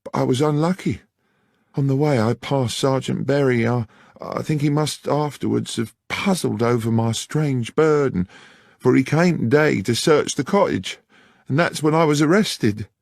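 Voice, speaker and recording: A man's voice: medium pitch, moderate pace, creaky, dry recording, clean studio sound, pitched above the performer's own voice. A man voicing a frightened, earnest young Englishwoman, deferential and stammering. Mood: rueful. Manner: deferential, plain-spoken, stammering in distress. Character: frightened young rural English working woman, earnest, pleading.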